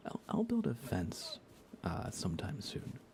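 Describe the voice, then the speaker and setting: A man speaking softly as an ASMR game streamer, hushed and often near a whisper, rambling casually as he chats with viewers. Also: mid-range voice, wildly swooping pitch, measured pace, gravelly. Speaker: live streamer. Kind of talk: soft-spoken ASMR gaming livestream chat.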